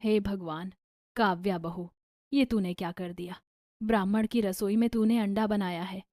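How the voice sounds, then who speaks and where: A woman acting a mellow-voiced, scolding pious mother-in-law. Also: medium pitch, steady tone, moderate pace, dry recording, studio quality, lightly acted. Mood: scandalized, scolding. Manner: dramatic, scolding, scandalized exclamations. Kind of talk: animated moral-story dialogue.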